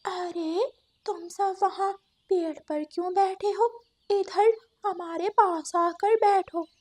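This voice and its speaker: Woman voicing a high-pitched sly cartoon fox. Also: steady tone, slow pace, a little mellow. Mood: coaxing. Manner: coaxing, theatrical, complaining. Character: sly, vengeful female fox luring prey. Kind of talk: Hindi children's cartoon story acting.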